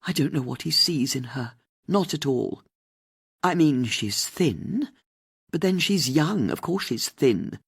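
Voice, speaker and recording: An older, upper-class English lady as acted out by an audiobook narrator, haughty and easily indignant. Her delivery is very lively and swoops up and down. She gives out brazen opinions with open disdain. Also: mid-range voice, slow pace, creaky, breathy edge, full character voice. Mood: indignant, disdainful. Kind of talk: audiobook character dialogue.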